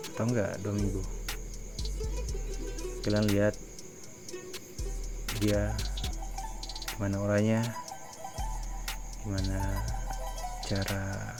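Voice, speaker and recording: Man playing a low-voiced, halting family boss over music. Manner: halting, deliberate, pausing between short phrases. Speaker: family leader in online roleplay. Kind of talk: improvised game roleplay meeting.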